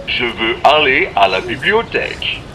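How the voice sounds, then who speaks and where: A man doing a deep, slow machine translator. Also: very animated, noisy background. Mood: deadpan. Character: deadpan literal machine translator voice.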